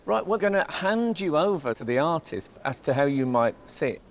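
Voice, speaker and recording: A man's voice: on the high side, very animated, normal speed, a little hiss or hum. A man in his fifties hosting an art show, chatting playfully and teasing his guests. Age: 50s. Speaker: host. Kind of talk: casual studio chat.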